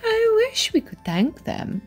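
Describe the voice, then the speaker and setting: A woman voicing a kindly, grateful shoemaker's wife in a children's storybook, gentle and earnest. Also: very high-pitched, huge pitch swings, takes it slowly, soft background music, voice pushed up, full character voice.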